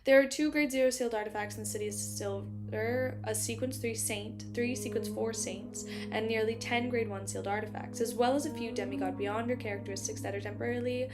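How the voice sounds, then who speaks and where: Woman, slightly high voice, chatty, laughing, filler-heavy, stutters now and then, music underneath. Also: fairly even intonation, a little fast, few pauses. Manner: still stutters on some words. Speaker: streamer reading a web novel aloud. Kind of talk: casual chat between passages of a novel read aloud.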